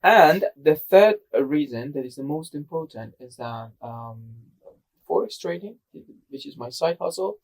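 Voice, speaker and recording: A man's voice: on the high side, expressive, unhurried, poor audio. A young man from Iran, speaking English as a second language, chatting casually and hesitantly with many fillers and self-corrections. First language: not English. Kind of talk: casual chat.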